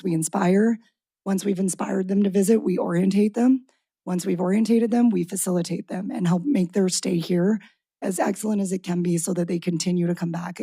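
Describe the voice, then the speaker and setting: A woman with a raspy voice presenting a tourism organization's plans to a city council from a podium microphone. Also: medium pitch, dark tone, no room sound. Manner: brisk presenting with occasional restarts. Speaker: tourism organization executive director. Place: council chamber.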